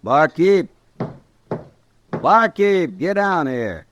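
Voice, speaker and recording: An actor playing a surly, menacing drifter with drawling cowboy talk in an old Western radio drama. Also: male voice, a little high, very animated, very slow, a bit bright, muffled recording, a little hiss or hum, full character voice. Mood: impatient, demanding. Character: surly, menacing drifter from Texas, itching for trouble.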